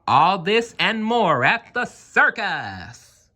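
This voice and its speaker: Man playing a showy high-pitched circus ringmaster. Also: very animated, sharp tone, full character voice. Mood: showy, rousing. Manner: booming, drawn-out announcer delivery. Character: showy male circus ringmaster, loud and grandiose. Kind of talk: storybook character voice.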